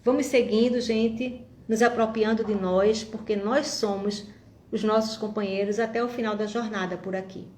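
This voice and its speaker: A woman's voice: mid-range voice, steady tone, normal speed, a little hiss or hum. Woman, 50s, upbeat reflective vlogger speaking to camera in an echoey room. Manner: warm, encouraging, reflective, addresses viewers directly. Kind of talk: motivational monologue to viewers.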